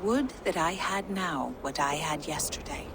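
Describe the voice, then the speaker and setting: An actress playing a serious, dry-witted young giantess in a video game, speaking bluntly and formally. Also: female voice, sits low, unhurried, noisy background. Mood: guardedly playful.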